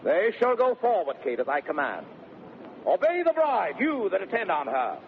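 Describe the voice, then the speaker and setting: An actor playing a headstrong, domineering bridegroom in declamatory 1930s Shakespearean radio style. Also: male voice, high voice, big pitch swings, moderate pace. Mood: boisterous, domineering. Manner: declamatory, theatrical. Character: swaggering, headstrong bridegroom, boisterous and domineering man. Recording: muffled, noisy 1930s radio broadcast recording.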